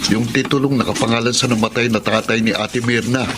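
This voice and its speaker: Casting: man as conniving elder brother, Filipino accent, mid-pitched voice, radio drama with clear background noise. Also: steady tone, fairly quick, close to an everyday voice. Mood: scheming. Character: scheming, indebted elder brother. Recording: clearly noisy drama recording.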